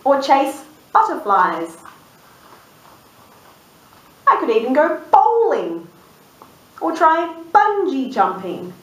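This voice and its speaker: A woman voicing a cheerful, daydreaming female sheep from a storybook, with sing-song, drawn-out rhyming lines. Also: high-pitched, wildly swooping pitch, pitched above the performer's own voice, full character voice. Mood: dreamy, cheerful. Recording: roomy, distant microphone.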